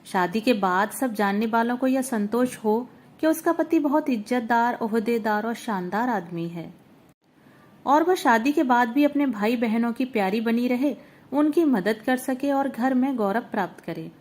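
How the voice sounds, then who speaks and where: A woman with a fairly high voice reads a literary short story aloud. Her delivery is measured and even, with reflective pauses between phrases.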